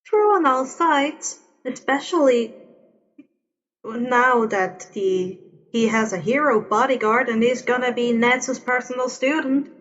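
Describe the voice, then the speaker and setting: A woman's voice: on the high side, a little slow, a bit bright. A woman voicing the chatty, wry ghost of a past hero in a story reading, with long reverb. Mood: matter-of-fact. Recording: dull, long echoing reverb.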